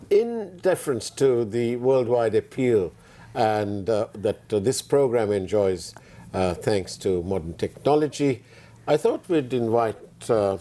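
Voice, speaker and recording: A man from Sri Lanka hosting a studio interview, speaking haltingly with many fillers and restarts. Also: mid-range voice, mellow. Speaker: talk show host.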